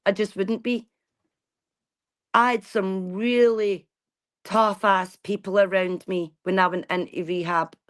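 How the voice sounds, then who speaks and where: A woman's voice: mid-range voice, steady tone, measured pace. A woman, talking bluntly and casually to her viewers and swearing freely. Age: 40s. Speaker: vlogger. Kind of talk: casual chat.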